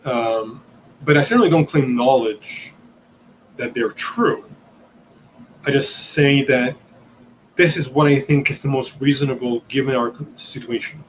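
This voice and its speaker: A man's voice: mid-range voice, unhurried, pauses often, a little hiss or hum, low-quality recording. Hesitant Italian-born man thinking aloud. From Italy. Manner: hesitant, searching for words, many ums and restarts. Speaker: podcast host answering questions.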